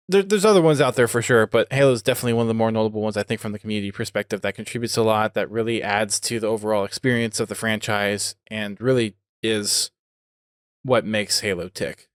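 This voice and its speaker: A man's voice: lowish voice, clean tone, no echo, clean studio sound. A man hosting a podcast in casual conversation, thinking aloud as he talks. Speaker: podcast host.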